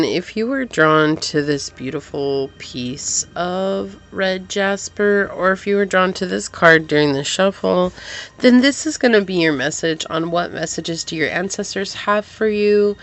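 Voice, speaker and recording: Soft-spoken tarot reader, a woman with a low voice. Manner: gentle, reassuring, speaks in affirmations to the viewer. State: slightly congested, recovering from a cold. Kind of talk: pick-a-card tarot reading to camera.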